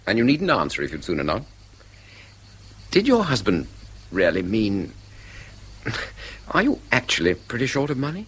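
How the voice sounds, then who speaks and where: A man's voice: lowish voice, huge pitch swings, normal speed, long pauses, fairly bright tone, slightly rough, heavily acted. A man with an English accent playing a courteous, jaded, inquisitive traveller in a radio drama, musing and politely probing. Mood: curious, admiring. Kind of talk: British radio drama acting.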